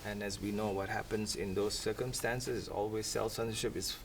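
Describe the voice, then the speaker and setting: A man's voice: lowish voice, fairly even intonation, a little fast, background noise. A man, speaking in a thoughtful, rambling way on a panel. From Singapore. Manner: thoughtful, rambling, with soft laughs. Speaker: academic panelist. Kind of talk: reflective panel talk. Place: event room. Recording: voice from a distant mic.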